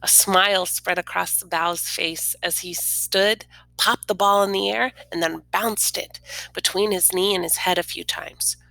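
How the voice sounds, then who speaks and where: A woman reading a children's picture book aloud expressively, pausing to explain things simply and informally to young listeners. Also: on the low side, steady tone, gravelly, pushed and bright, dry recording, rough recording. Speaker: read-aloud storyteller.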